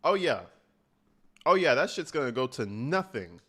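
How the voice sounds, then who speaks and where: A man's voice: mid-range voice, very animated, normal speed, fairly bright tone. A man hosting a reaction stream, giving casual, opinionated commentary in a blunt, profane, conversational way. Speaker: host of a reaction livestream.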